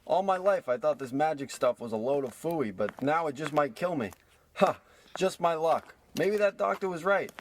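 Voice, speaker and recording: Hapless wisecracking crook played by a man, highish voice. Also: lively intonation, normal speed, a little hiss or hum, natural voice. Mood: brooding. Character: hapless small-time crook, self-pitying tough guy. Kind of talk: parody of old radio drama acting.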